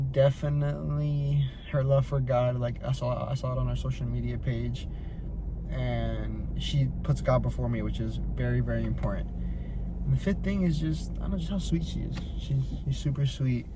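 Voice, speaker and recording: A man chatting casually as co-host, relaxed and slangy. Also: medium pitch, normal speed. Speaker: co-host answering Q&A. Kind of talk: casual couple chat. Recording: noisy background hum.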